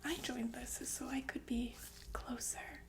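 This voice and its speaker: A woman's voice: a little high, fairly even intonation. Woman playing a whispering, sadistic killer nurse. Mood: calm, menacing. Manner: slow, deliberate, intimate, with pensive pauses and hums. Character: sadistic killer nurse, calmly menacing, thrilled by death. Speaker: ASMR performer. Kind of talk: ASMR roleplay monologue in whispers.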